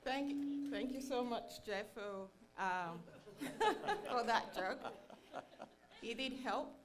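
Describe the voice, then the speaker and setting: A woman's voice: fairly high pitch, takes it slowly. Chatty middle-aged woman presenting live. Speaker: meetup presenter. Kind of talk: informal live presentation with audience questions. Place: meetup room, live in front of an audience.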